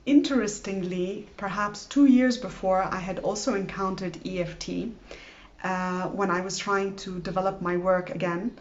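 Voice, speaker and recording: A woman's voice: mid-range voice, fairly even intonation, moderate pace, highs cut off. A woman in her forties, born in Lebanon and raised in Greece, who practises EFT and talks earnestly about her own healing. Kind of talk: personal reflective talk to camera.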